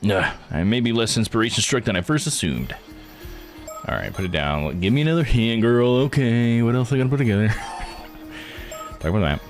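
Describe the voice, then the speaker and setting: A man streaming a visual novel, thinking out loud in casual live commentary as he reads and puzzles. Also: medium pitch, animated, normal speed, faint music behind. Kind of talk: casual live game commentary, reading text aloud.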